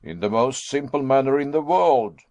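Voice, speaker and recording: A man voicing a jovial, excitable elderly professor and explorer who speaks in a hearty, booming voice. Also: moderate pace, no room sound, natural voice.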